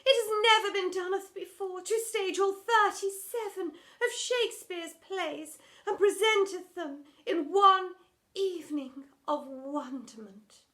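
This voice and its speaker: A woman's voice: very high voice, measured pace, a bit bright, pitched above the performer's own voice. A woman voicing a gushing, theatrical drama teacher from a children's storybook, speaking in mock-Shakespearean style with wobbling emotion. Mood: tearful, grandiose.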